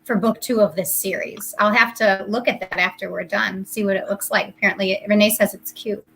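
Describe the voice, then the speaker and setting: A woman co-hosting a book club livestream, chatting casually and relaxed. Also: medium pitch, fairly quick, vocal fry, slight background noise, poor audio.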